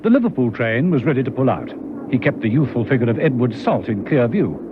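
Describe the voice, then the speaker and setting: A man's voice: mid-range voice, sing-song, fairly quick, noisy background. A man narrating a vintage radio serial in a dramatic, scripted style. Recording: dull lo-fi old radio recording. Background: music.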